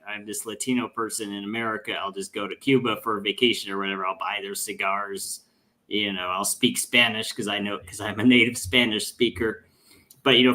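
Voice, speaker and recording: A man talking in a loose, casual way on a political discussion show. He rambles and restarts often, and his voice drops low and quiet whenever he acts out what other people say. His pitch sits in an ordinary middle range. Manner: rambling, many restarts, drops to a mutter when quoting people. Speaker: podcast guest.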